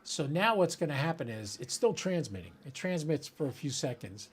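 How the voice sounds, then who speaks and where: A man's voice: fairly high pitch, very animated, moderate pace, breathy edge. A man hosting a hobby channel, giving an informal live demonstration and thinking aloud as he works at his computer. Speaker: host of a ham radio channel. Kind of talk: casual live demonstration, talking to viewers.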